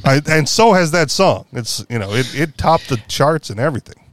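A man's voice: fairly high pitch, animated, moderate pace, no room sound. A man hosting a podcast in casual political chat, rambling and correcting himself with a wry tone. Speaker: podcast host.

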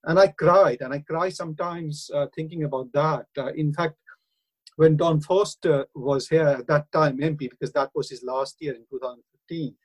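A middle-aged man with an Indian accent, speaking earnestly and haltingly in a radio interview, with frequent hesitations. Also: a little high, breathy edge, rough recording. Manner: earnest, hesitant, many uh fillers. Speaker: councillor and university lecturer.

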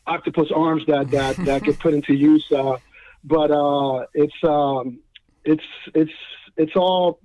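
A man's voice: on the high side, steady tone, slow, dark tone. A man from New York with a Dominican family background, a podcast guest talking casually with many fillers and restarts. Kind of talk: casual interview chat. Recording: tinny phone-like line.

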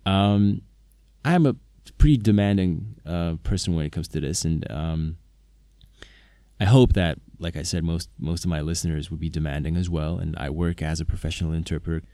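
A man's voice: low voice, moderate pace, mellow, no room sound, clean studio sound. A man whose first language is Slovak, talking to viewers about knowing languages, hesitant, with many fillers and restarts. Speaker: polyglot video maker, interpreter. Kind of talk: casual talk to camera.

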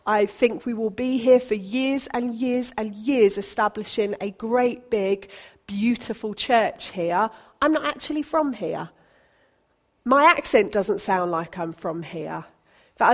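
A woman pastor from Britain, preaching a sermon in a chatty, encouraging way with light humour. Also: fairly high pitch. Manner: conversational, encouraging, self-deprecating humour.

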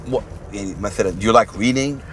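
A man's voice: fairly low pitch, very fast, faint music behind. A man interviewing a guest in a friendly, rambling way, slipping Arabic words into his questions. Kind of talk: casual interview talk.

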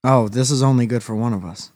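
A man's voice: medium pitch, quick pace, a little mellow, no echo. Playful rambling male podcast host. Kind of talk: casual banter.

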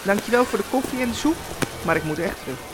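Woman as a reserved rich businessman, animated pitch. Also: fast, very noisy, lightly acted. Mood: decisive, uneasy. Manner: hesitant then decisive, polite. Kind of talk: acted Christmas radio story.

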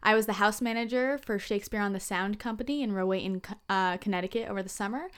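A woman's voice: mid-range voice, quick pace, smooth. A woman in her early twenties, a student co-host giving a scripted podcast introduction. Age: early 20s.

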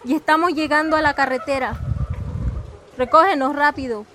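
A woman's voice: high-pitched, fairly even intonation, normal speed, a bit bright, slight background noise. A woman acting a frightened young mother fleeing at night, pleading urgently in an amateur radio drama.

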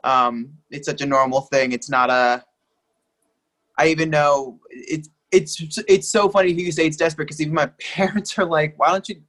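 A young man with a bright, somewhat high voice chats casually. His phrasing is informal, and he circles back to repeat himself. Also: steady tone, moderate pace. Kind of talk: casual chat.

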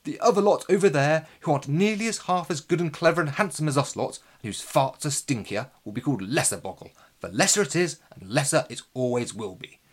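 A man's voice: fairly high pitch, expressive, brisk, hardly any pauses, husky. A man voicing a boastful, villainous lord of the manor in a storybook, proclaiming grandly. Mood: pompous.

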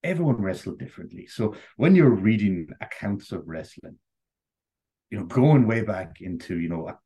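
Irish male guest, deep voice, slightly husky, loose chatty storyteller. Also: animated, normal speed, dark tone. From Ireland. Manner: rambling, full of "you know" and "like". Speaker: interview guest. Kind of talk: casual conversational storytelling.

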